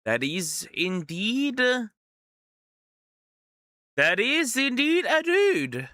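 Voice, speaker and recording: A male streamer giving casual, excitable commentary on a game, reacting with disbelief and joking to his chat. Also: high-pitched, very slow, no echo, studio quality. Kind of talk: let's play commentary.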